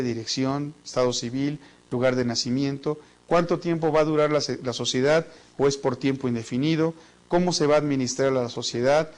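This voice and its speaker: A man with a Mexican accent teaching a business class in a chatty, joking way, often repeating words as he thinks. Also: on the high side. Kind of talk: online business class lecture.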